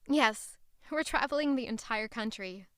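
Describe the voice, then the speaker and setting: A woman's voice: a little high, a little slow, a bit bright, smooth, dry recording, studio quality. An actress playing an anxious young traveling woman with a secret in an audio drama, tense, guarded and hesitant. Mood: polite, guarded.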